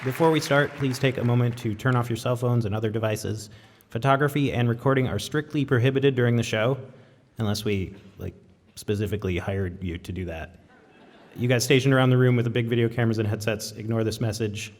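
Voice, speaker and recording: A man giving a pre-show announcement with deadpan comic asides. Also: mid-range voice, brisk, some chatter behind. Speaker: announcer opening a live show. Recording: reverberant large hall.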